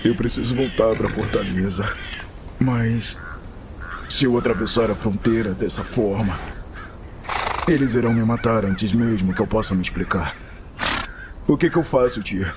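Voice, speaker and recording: An actor playing a young paladin turned horned tiefling, distraught, pleading and halting, in an audio drama. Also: male voice, fairly low pitch, noisy background, close to an everyday voice. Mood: anguished, pleading. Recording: loud music behind the voice.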